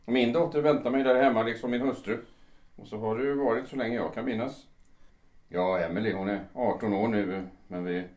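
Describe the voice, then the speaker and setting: A man playing a concerned, reasonable lawyer in his late fifties in a radio drama, speaking hesitantly and gently probing. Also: on the low side, fairly even intonation, close to an everyday voice. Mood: polite, puzzled. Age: older. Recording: echoey room sound.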